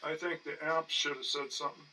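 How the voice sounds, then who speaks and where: An older man giving casual, rambling commentary as he tinkers, halting and muttering to himself. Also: fairly high pitch, level tone, bright, forceful tone. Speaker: hobbyist narrating his own projects. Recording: distant mic with faint background noise.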